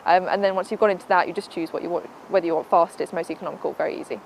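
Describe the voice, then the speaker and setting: A woman, a car reviewer giving a brisk, matter-of-fact verdict on an in-car system. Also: fairly low pitch, fairly even intonation, creaky, darkish tone, a little hiss or hum. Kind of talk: product review.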